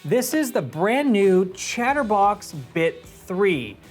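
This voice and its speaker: A man's voice: high-pitched, very animated, slow, faint music behind. An American man in his thirties presenting a product overview to camera in a casual, explanatory way. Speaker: product reviewer and presenter for a motorcycle gear shop. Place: indoor video studio set.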